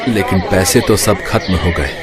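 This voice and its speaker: A man playing a poor village father, husky, hesitant and apologetic, in a Hindi animated moral story. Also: sits low, moderate pace, over a noisy crowd, natural voice. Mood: gentle, apologetic. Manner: hesitant, soft-hearted.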